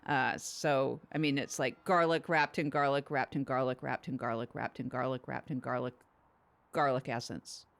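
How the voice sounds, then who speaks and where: Woman as breezy, deadpan podcast producer. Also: a bass voice, normal speed, vocal fry. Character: breezy, matter-of-fact female podcast producer. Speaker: producer giving a demo. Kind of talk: improvised comedy podcast banter.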